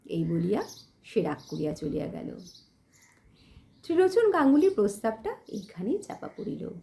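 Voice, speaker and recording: A woman's voice: medium pitch, dark tone. A woman narrating a literary audio story expressively, in formal diction.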